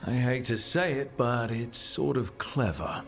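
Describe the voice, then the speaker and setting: An actor playing a wry, reassuring young adventurer leader in a video game, casual and plain-spoken. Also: male voice, mid-range voice, a little slow, vocal fry. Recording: music behind the voice.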